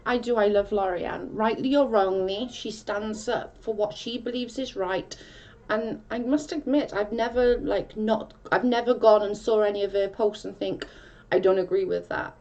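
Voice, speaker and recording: A woman's voice: medium pitch, moderate pace, darkish tone, lo-fi sound. A woman from South Wales with a Welsh accent, chatting casually on a livestream and reading out comments in a rambling way with many restarts.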